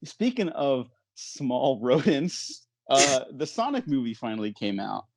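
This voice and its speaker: A man's voice: mid-range voice, very animated, unhurried, dry recording. Male host, middle register, casual but playfully formal and wry, very dry room sound. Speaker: podcast host. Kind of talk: casual podcast hosting.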